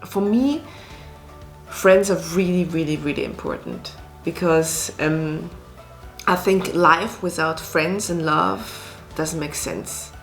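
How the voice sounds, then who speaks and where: Slow-talking woman from Switzerland. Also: fairly low pitch, fairly bright tone, soft background music. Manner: speaks slowly, with many fillers and restarts. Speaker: restaurant owner and actress. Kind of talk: casual interview talk.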